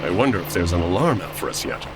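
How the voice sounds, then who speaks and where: A man's voice: low voice, lively intonation, fast talker, noisy background. An actor playing an anxious, bewildered, earnest man from the 1940s in a comedy audio drama. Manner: fretful, earnest. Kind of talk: comedy audio drama acting, old-time radio flavour.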